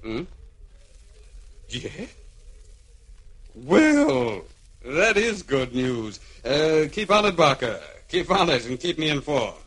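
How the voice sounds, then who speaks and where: An actor playing a smug, scheming lawyer in a vintage radio crime serial, husky and deliberate in an insinuating way. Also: male voice, a little high, sing-song, a bit bright, full character voice. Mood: businesslike, pleased. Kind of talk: vintage radio drama acting.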